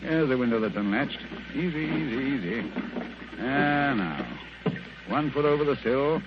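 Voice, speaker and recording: An actor playing a brisk, shrewd gentleman detective with a clipped, theatrical manner in a radio play. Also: medium pitch, lively intonation, lightly acted. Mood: muttering, straining. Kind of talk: 1940s radio acting. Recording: noisy, dull old radio recording.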